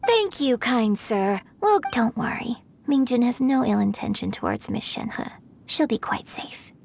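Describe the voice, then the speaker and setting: An actress playing a courteous young opera performer in a video game, speaking in a polite, articulate, thoughtful way. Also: female voice, fairly high pitch, very animated, a little slow, creaky, slight background noise, heavily acted. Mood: polite, reassuring.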